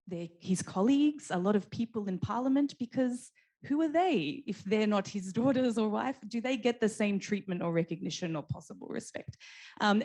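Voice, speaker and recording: A woman's voice: medium pitch, darkish tone. A woman speaking as a panelist, thoughtful and full of restarts and fillers. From Australia. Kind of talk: panel discussion.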